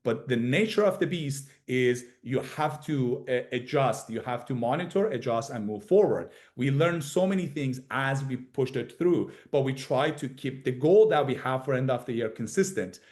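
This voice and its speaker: A man speaking as a company executive on an earnings call, with halting delivery, often repeating and correcting himself. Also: medium pitch, animated, a little mellow. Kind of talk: investor earnings call presentation.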